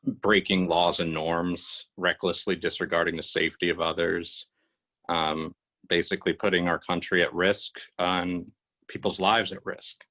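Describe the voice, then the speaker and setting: A man with a very deep voice speaks in a flat, even line. He gives a measured statement, with clicking heard behind him. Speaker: clinical psychologist. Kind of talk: video statement.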